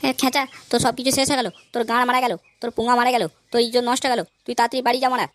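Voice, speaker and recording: A foul-mouthed male busybody from a comedy cartoon acted by a man, gossiping at breakneck speed. He is crude and nosy, with clear noise behind the voice. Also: very high-pitched, level tone, rapid-fire, a bit bright, voice pushed up. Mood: agitated. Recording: clearly noisy background.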